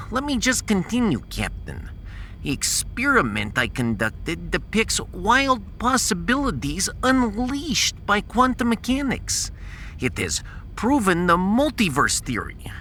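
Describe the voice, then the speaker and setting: A man's voice: sits high, sharp tone, slight background noise, voice pushed up, exaggerated voice. A man playing a proud, pedantic scientist in a science fiction audio drama, long-winded and dropping his articles. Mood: indignant, lecturing.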